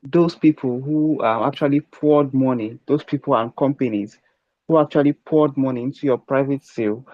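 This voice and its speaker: A man hosts an online Q&A in a middle-pitched voice that keeps breaking into "um" fillers. His audio is dry and dull, like a low-fidelity call. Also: normal speed, no echo. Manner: hesitant, many "um" fillers. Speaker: AMA host. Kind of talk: online crypto AMA questioning.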